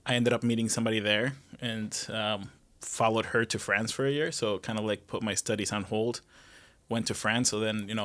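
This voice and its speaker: Man from Mexico, low voice, relaxed rambling storytelling, lots of fillers, podcast guest. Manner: relaxed and rambling, many fillers, laughs easily. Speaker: guest, restaurant general manager. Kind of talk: casual conversational interview.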